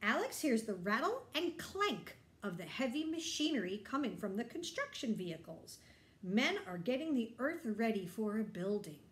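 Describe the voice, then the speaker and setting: A middle-aged woman reads a children's story in a lively, swooping voice. She pauses to explain things and put simple questions to young listeners. The room adds a noticeable echo. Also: a little high. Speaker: teacher reading a picture book aloud to children. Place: bright indoor room.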